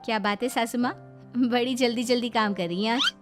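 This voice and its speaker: A woman's voice: on the high side, a little fast, voice pushed up, lightly acted. Woman acting young daughter-in-law, outspoken, protective, family storybook, faint background music. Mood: concerned.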